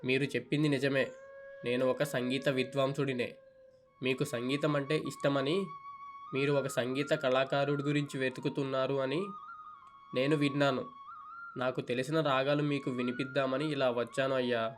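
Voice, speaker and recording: A man's voice: mid-range voice, little pitch movement, moderate pace, faint music behind, natural voice. A man voicing an earnest, respectful young musician in a children's cartoon story. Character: earnest, troubled young male musician, devoted son.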